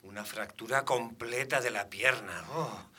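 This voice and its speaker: A man's voice: mid-range voice, measured pace, pushed and bright, breathy edge, heavily acted. A man playing a talkative, pious old Benedictine monk in comic radio theatre, rambling and hesitant. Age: older. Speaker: caller to a radio contest.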